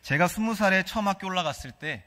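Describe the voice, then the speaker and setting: A man's voice: sits high, animated, quick pace, sharp tone. A male pastor with a Gyeongsang accent preaching a sermon, asking the congregation questions and leading them in reading scripture aloud. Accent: Gyeongsang Korean. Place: church sanctuary. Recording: long reverb of a church hall.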